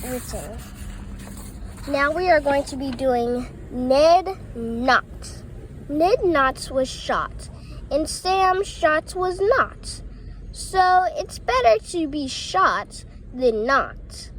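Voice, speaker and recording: A woman reading playful rhymes and tongue twisters aloud in a halting way, often stumbling over words. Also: sits high, lively intonation, slight background noise, low-quality recording. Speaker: reader of children's poems.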